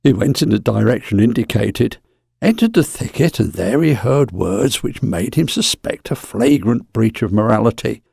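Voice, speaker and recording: Male narrator, very animated intonation, creaky edge, dramatic storytelling, dry studio-like closeness. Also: lowish voice, moderate pace, no room sound.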